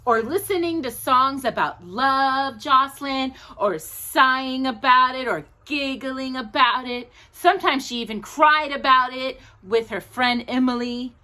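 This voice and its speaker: A woman hosting a children's storytime, reading a picture book with playful, drawn-out emphasis and chatty asides to a companion. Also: sits high, pushed and bright. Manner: addresses a companion directly while reading.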